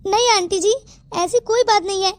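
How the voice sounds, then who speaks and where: An extremely high voice of a woman acting a meek young woman in a cartoon melodrama. The delivery is pleading and emotional, with a dry, close sound. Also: moderate pace, no echo. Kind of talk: Hindi moral-story cartoon dialogue.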